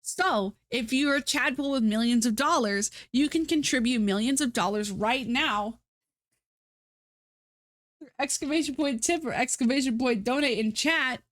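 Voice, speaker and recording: A woman's voice: high voice, a little slow, fairly bright tone, dry recording, studio quality. A woman streaming live, chatting casually to her viewers with a stuffy nose, rambling through fillers and restarts. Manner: rambling, self-mocking, many fillers and restarts.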